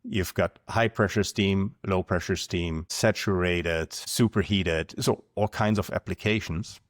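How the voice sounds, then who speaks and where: A man from Germany with a German accent, explaining things in a measured way as a podcast guest. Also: deep voice, fairly even intonation, no room sound, clean studio sound. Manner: measured, explanatory, numbers-driven. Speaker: guest, company founder. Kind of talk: podcast interview.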